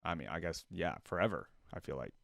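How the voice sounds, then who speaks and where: A man's voice: deep voice, animated, fast talker, vocal fry, fairly bright tone, dry recording, studio quality. A man hosting a reaction video, giving casual, rambling commentary on video game voice lines.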